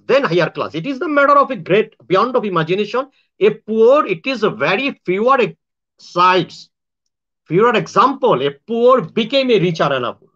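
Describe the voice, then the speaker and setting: A man's voice: high-pitched, bright, forceful tone. A man from Bangladesh with a Bangladeshi English accent, hosting an online show in a casual, rambling monologue to his viewers, full of restarts and grand boastful claims. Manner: rambling, with many restarts and self-corrections. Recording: dull lo-fi sound.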